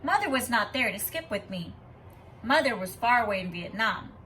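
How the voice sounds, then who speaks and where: Bright-voiced woman reading aloud to children. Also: on the high side, animated, normal speed, a little hiss or hum. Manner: instructive, emphatic storytelling. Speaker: kindergarten teacher. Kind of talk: expressive children's read-aloud with explanations.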